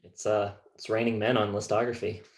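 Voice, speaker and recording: A man co-hosting a music show, chatting casually in a measured, halting way. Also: lowish voice, little pitch movement. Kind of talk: casual chat.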